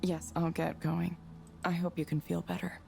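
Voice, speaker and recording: A woman's voice: fairly low pitch, flat delivery, moderate pace, vocal fry, mellow, slight background noise. An actress playing a disillusioned, grieving young woman in a visual novel game, subdued and introspective. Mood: gently concerned. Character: disillusioned, grieving young woman, quietly reflective former engineer.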